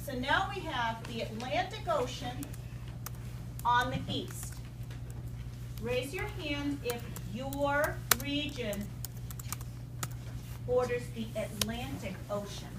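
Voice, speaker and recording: High-voiced woman teaching, distant and roomy. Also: takes it slowly, long pauses. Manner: instructive, questioning, repeats students' answers back. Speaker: elementary school teacher. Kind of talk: interactive classroom read-aloud lesson. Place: indoor school classroom. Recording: roomy, distant, noisy classroom recording.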